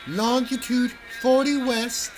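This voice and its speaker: A man voicing a small, shrewd, polite talking fish in a small squeaky storybook voice. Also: steady tone, slow pace, music in the background. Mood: sly, polite.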